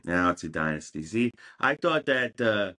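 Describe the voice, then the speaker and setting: A man's voice: lowish voice, very animated, moderate pace, bright, forceful tone. A man co-hosting a casual sports podcast, delivering punchy catchphrases like a hype man. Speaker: podcast co-host.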